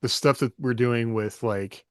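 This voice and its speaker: A man's voice: medium pitch, unhurried, clear, resonant tone, dry recording, clean studio sound. A man co-hosting a podcast and talking casually in a panel chat. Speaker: podcast co-host, writer.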